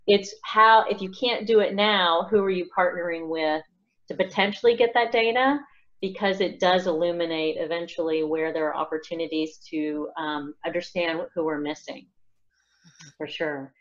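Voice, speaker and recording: A woman's voice: lowish voice, normal speed. A woman facilitating a group discussion on a conference call. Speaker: meeting facilitator. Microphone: remote conference call. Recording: slightly dull conference call audio.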